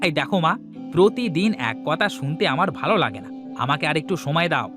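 A man's voice: fairly high pitch, big pitch swings, a little fast, music in the background. A man voicing a lazy, easily led young village man who dodges his mother's nagging. He talks in a sulky, evasive way in a cartoon. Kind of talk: cartoon voice acting.

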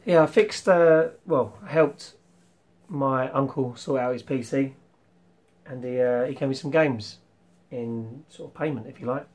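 A young man hosting a casual retro collection vlog, rambling with ums and clearing his throat, his voice slightly worn from losing it. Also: medium pitch, measured pace, darkish tone. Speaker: retro game collector vlogger. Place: small room full of toy shelves, indoors.

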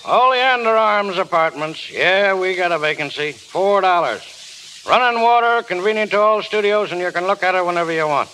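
A brash, cynical American clerk in a 1930s radio play. His voice is fairly high and sharp, curt and sardonic, on a faintly hissy recording. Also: normal speed, rough recording. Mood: brusque. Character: brash wisecracking male rooming-house clerk, cynical.

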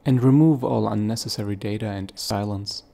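Tutorial host, male, lowish gravelly voice, plain instructional read. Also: moderate pace, mellow. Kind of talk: step-by-step software tutorial.

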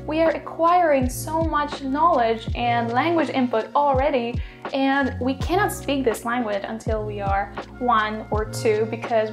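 A young woman from Poland explains languages straight to camera in an upbeat, brisk way. Her voice sits a little high, she is recorded close up in a small bedroom, and music plays clearly underneath. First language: Polish. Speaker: language teacher and YouTuber. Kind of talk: direct-to-camera video lesson.

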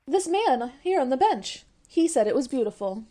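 An eager young college girl from a radio comedy, played by a woman. Her voice is high and animated, with a mellow tone. She is naive and gushing. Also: moderate pace. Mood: excited, gushing. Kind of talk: old-time radio comedy acting.

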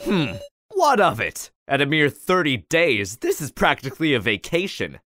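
A man's voice: a little high, huge pitch swings, moderate pace, a bit bright, dry recording, studio quality. A man voicing a cocky, aloof convict, dismissive and smug, in a game dub. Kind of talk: visual novel game dub.

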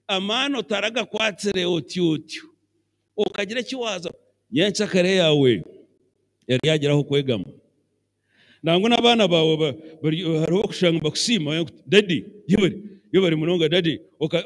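A middle-aged Ugandan man preaching a sermon as bishop on a handheld mic in a large church, animated and mixing English phrases into his talk. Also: on the high side. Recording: long church hall reverb.

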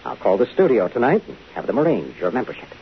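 A smooth-talking, self-important film director in a 1950s radio play. He is flirtatious and affected, with a very lively, swooping pitch. The recording is muffled and hissy. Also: fast talker. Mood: charmed, gushing. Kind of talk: 1950s radio drama acting.

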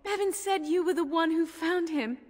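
A woman's voice: very high-pitched, moderate pace, darkish tone. A woman playing a humble, grateful young village woman in a video game, speaking hesitantly and earnestly. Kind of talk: video game character dialogue. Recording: long reverb, music underneath.

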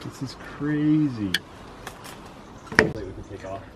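Young man, slow and drawling, excitable, mid pitch, outdoor vlog with loud music under him. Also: heavy background noise. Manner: excitable, exclaiming. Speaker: vlogger companion. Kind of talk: casual exploring chat.

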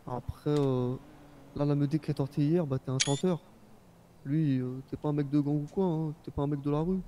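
A man's voice: a little high, steady tone, a little fast, noisy background, natural voice. A man playing a young, easygoing Japanese-born rap label boss in a game, talking in informal, rambling slang. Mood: explanatory. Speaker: role-player. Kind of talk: casual in-character game chat.

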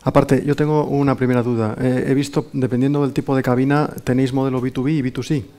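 A man's voice: medium pitch, level tone, fast, mellow. A middle-aged man with an accent from Spain, informally moderating and putting questions at a live event. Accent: Spanish from Spain. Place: indoor conference room with small seated audience.

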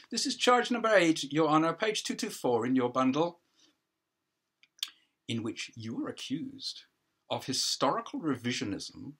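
A man's voice: a little high, fairly bright tone, breathy edge, full character voice. An older man voicing a pompous prosecuting counsel in a stage play, with formal, sarcastic, insistent questioning. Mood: grave. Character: pompous, needling male prosecuting counsel at disciplinary hearing.

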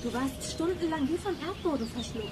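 An actress playing a brisk, sceptical young detective in a children's audio drama, questioning pointedly. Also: female voice, high voice, expressive, noisy background.

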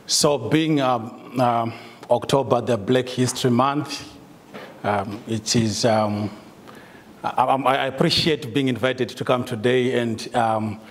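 A man's voice: medium pitch, steady tone, slow, long reverb tail. A middle-aged man from Zimbabwe with a Zimbabwean accent, giving a talk to staff with many ums and uhs and some light laughter. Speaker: guest speaker, solicitor. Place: meeting room, indoors.

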